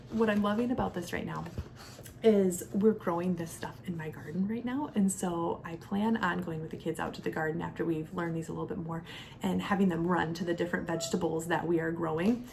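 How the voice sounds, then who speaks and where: A woman in her thirties giving a casual, conversational product review as a homeschooling mom, explaining things step by step. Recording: clear background noise with low rumble.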